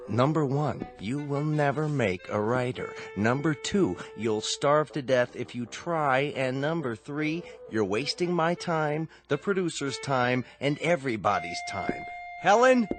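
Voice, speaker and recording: Exasperated, animated actor as talent agent. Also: male voice, medium pitch, over music, lightly acted. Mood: firm, fed up. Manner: dry, emphatic, deliberate. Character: weary, exasperated middle-aged male talent agent. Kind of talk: radio drama acting.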